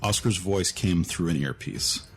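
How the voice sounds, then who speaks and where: A man's voice: low-pitched, measured pace. A man narrating a dramatic audio story from a script. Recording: music behind the voice.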